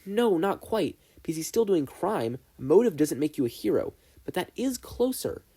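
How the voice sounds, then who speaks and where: A man's voice: high-pitched, big pitch swings, normal speed, warm tone, breathy edge, pitched above the performer's own voice, exaggerated voice. A man voicing an earnest, idealistic teenage boy student in an audiobook, arguing emphatically. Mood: excited. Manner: emphatic, argumentative.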